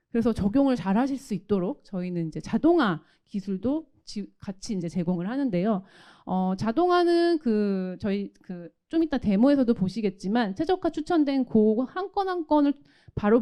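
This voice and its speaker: Woman presenting tech, lively swooping intonation. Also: mid-range voice. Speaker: tech product presenter from a company's automation division. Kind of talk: product presentation with demo.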